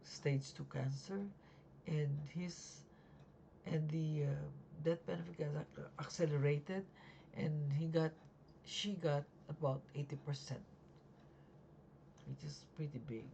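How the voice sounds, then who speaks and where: Slow, gravelly, sleepy-sounding voice. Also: mid-range voice, steady tone, warm tone, a little hiss or hum. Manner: halting, many fillers and restarts. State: raspy and sleepy. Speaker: life insurance agent, still learning. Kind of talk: casual explainer video. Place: home at night.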